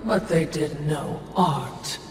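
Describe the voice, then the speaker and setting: An actress playing a wry, teasing, sly spirit watcher in a video game, flippant and languid. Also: female voice, low-pitched, slow, noisy background. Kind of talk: video game cutscene acting. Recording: echoey, distant, music behind.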